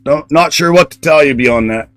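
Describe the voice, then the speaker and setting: A man hosting a live stock trading stream, talking with a loud speaking voice and answering viewers bluntly. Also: a little high, moderate pace, dry recording, faint music behind. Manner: blunt, answers chat comments directly. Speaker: stock trading livestream host. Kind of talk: casual livestream commentary.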